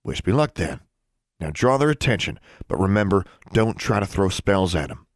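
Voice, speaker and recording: A man voicing a commanding but caring teenage wizard boy in an audiobook, with terse, low-key instructions. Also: sing-song, normal speed, no room sound, clean studio sound. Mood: gentler, earnest. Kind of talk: audiobook character acting.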